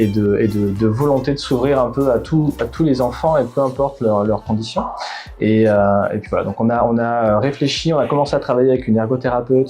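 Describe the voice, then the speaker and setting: A middle-aged founder from Bordeaux talks in an interview at a mid pitch, with a warm, mellow tone. He hesitates often, restarting his phrases with plenty of fillers. Faint music plays behind him. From Bordeaux, France. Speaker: startup founder. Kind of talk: interview answers.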